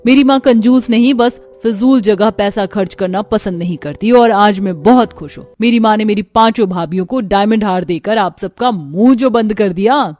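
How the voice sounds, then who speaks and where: A woman's voice: mid-range voice, normal speed, hardly any pauses, darkish tone, faint music behind, close to an everyday voice. A woman voicing a proud, outspoken young daughter in a cartoon, speaking emphatically. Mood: proud, defiant. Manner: emphatic, declarative.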